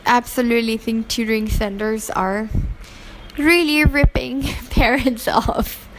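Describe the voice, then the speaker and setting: A woman's voice: a little high, steady tone, measured pace, background noise. A woman from Pakistan who grew up in Hong Kong, giving earnest, opinionated interview answers with fillers and restarts. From Pakistan, raised in Hong Kong.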